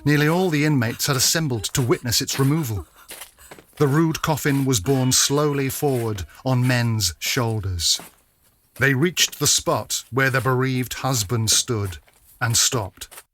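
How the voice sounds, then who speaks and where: A man's voice: mid-range voice, measured pace, fairly bright tone, slight background noise. A man narrating a serious audio drama in a grave, solemn manner.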